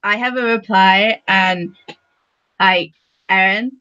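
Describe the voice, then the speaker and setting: A woman's voice: medium pitch, takes it slowly, pushed and bright, clean tone, no room sound. A woman speaking hesitantly and appreciatively as a panelist in a casual online discussion. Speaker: podcast panelist. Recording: slightly dull, lo-fi audio.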